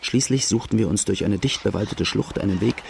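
A male outlaw narrates his own youth in a radio drama. The voice is low and heavily gravelly, told plainly. Faint noise lies underneath. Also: a little fast. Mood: reflective. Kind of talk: first-person narration in German radio drama.

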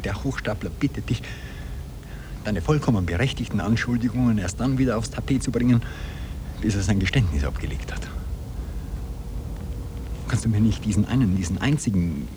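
An actor playing a weary impostor husband with a Viennese accent in a radio play from around 1960, terse and ironic. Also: male voice, on the low side, expressive, a little fast, pauses often, close to an everyday voice. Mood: exasperated, pleading. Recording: noisy old radio drama cassette transfer.

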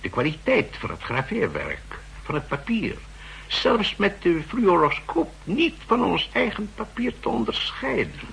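Fussy, sharp-voiced banking expert, animated. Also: male voice, high voice, unhurried, creaky, slightly rough, exaggerated voice. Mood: earnest, explaining. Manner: pedantic, emphatic, hesitating and repeating himself. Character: fussy, insistent male central-bank counterfeiting expert. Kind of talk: 1960s radio drama acting. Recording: noisy vintage 1960s radio recording.

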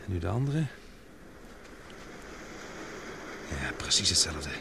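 An actor playing a capable young expedition man in a radio drama, speaking in a measured, matter-of-fact way. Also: sits low, big pitch swings, vocal fry, full character voice. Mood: grave, suspicious. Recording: noisy old radio play recording.

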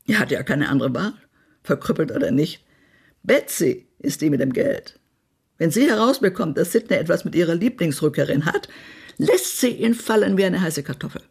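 Spiteful snobby gossip woman, radio drama, raspy, swoops up and down, blunt and bossy. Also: fairly low pitch, big pitch swings, moderate pace, a little mellow, exaggerated voice. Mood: scornful, smug. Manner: blunt, acid, imperious, swearing. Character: spiteful small-town society gossip, haughty woman of old family. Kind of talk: German radio play acting.

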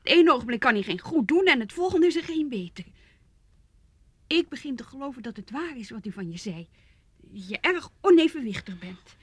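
Radio drama: young fiancée, insistent and coaxing, highish breathy voice, close dry sound. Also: female voice, sing-song, moderate pace, dry recording, heavily acted. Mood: insistent, reproachful. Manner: pressing, coaxing. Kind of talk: Dutch radio play acting.

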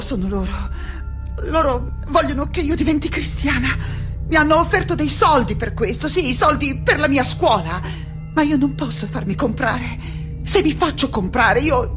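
An actress playing a frightened yet defiant woman scholar in a theatrical radio monologue, halting and repeating her words with dramatic intensity. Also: high voice, animated. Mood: anguished, fearful. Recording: loud music behind the voice.

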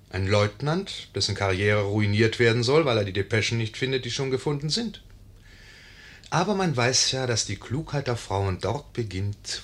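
Wry, animated storyteller. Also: male voice, fairly low pitch. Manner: ironic, musing. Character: wry, knowing male narrator commenting on the intrigue. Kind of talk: 1950s radio play narration.

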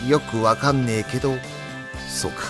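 A man playing a stern, level-headed high school volleyball captain in an anime audio drama, brisk and authoritative. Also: close to an everyday voice. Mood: baffled. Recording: music behind the voice.

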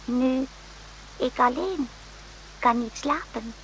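A woman's voice: sits high, slow, a bit bright, heavily acted. A woman playing an old Vietnamese servant widow in a radio drama, speaking in broken, clipped pidgin phrases. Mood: flat, resigned. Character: old Vietnamese widow, terse, worldly-wise servant in army barracks. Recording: noisy hummy old radio recording.

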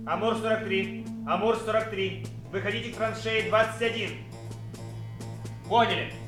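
A dutiful army signaller in a Soviet radio play gives clipped reports and repeats calls in a high voice. The room echoes, and music plays loudly behind. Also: male voice, fairly even intonation, moderate pace. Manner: clipped, repetitive call-sign reporting. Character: dutiful military radio operator relaying signals. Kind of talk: Soviet radio drama acting.

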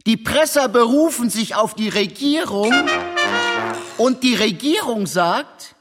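A man's voice: extremely high pitch, lively intonation, slow pace, over loud music, exaggerated voice. A man playing an impassioned reciter of a revolutionary pamphlet, with emphatic, stretched declamation in an experimental musical audio drama. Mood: impassioned, accusing.

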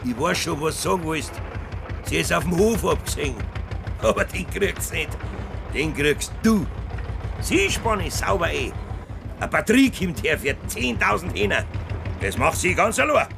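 A man's voice: fairly high pitch, animated, moderate pace, gravelly, background noise. A man playing a stubborn, boastful middle-aged farmer and father in a radio play, speaking emphatic Bavarian dialect. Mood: domineering. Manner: emphatic, blustering dialect speech. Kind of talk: naturalistic German radio play acting.

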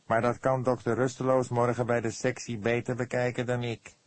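A man voicing a small old coroner in a detective audiobook, deliberate, formal and grumbling. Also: medium pitch, completely flat delivery, no room sound, pitched above the performer's own voice, close to an everyday voice.

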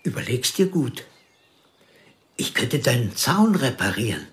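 An old village man in a radio drama, courting with earnest, practical persistence. His plain-spoken delivery swings freely up and down in pitch. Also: sing-song, a little slow, a bit bright. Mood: earnest, insistent. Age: older.

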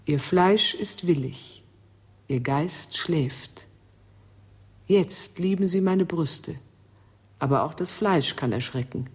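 An actress playing an enigmatic, commanding seductress in a radio drama, husky and deliberate. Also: female voice, sits low, a little mellow, heavily acted. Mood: cool, enigmatic. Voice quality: husky, breathy. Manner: slow, deliberate, theatrical. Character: enigmatic seductive woman, coolly commanding, melancholy. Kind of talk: German radio drama acting.

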